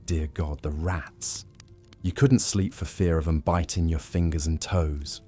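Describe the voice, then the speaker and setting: A man voicing a grizzled, world-weary old pirate with a rough, raspy voice, telling grim tales in sailor dialect for a story. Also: very deep voice, fairly even intonation, measured pace, mellow, soft background music. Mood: bitter, haunted.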